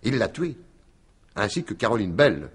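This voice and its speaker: A man's voice: on the low side, very animated, moderate pace, slightly rough. An actor playing a shrewd, insistent detective in a French radio mystery in 1950s style, explaining his theory with emphatic deliberation. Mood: insistent, certain.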